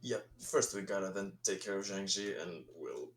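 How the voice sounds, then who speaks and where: This is a deep-voiced man taking part in a tabletop game. He talks casually, half in character. The room rings around him, with a faint noise behind. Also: expressive, moderate pace. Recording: roomy sound with faint background noise.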